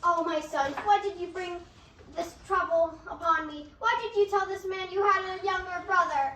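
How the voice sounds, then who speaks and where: Male story roles played by a woman, very high voice, amateur stage reading, distant from mic. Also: fairly even intonation, normal speed, pushed and bright. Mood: distressed. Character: old father and taunting servant, both male. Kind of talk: amateur readers' theatre. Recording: distant echoey room, noisy.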